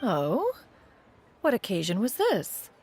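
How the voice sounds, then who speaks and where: A woman's voice: mid-range voice, wildly swooping pitch, slow, a little mellow, soft background music, heavily acted. A woman playing a haughty, elegant immortal in a video game, speaking formally and grandly in archaic phrases. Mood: proud, refined. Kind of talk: video game character acting.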